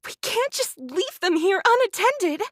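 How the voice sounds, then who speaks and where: An actress voicing a gentle, sorrowful young woman soldier in a video game, speaking tenderly and earnestly. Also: extremely high pitch, very animated, unhurried, no room sound, clean studio sound, exaggerated voice. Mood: pleading. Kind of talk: dramatic game voice acting.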